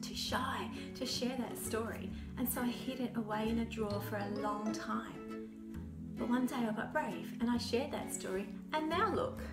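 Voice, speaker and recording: Animated woman reading a children's story. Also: a little high. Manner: dramatic, playful delivery. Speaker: author reading own book. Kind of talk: expressive children's storybook narration. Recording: roomy echo, music behind.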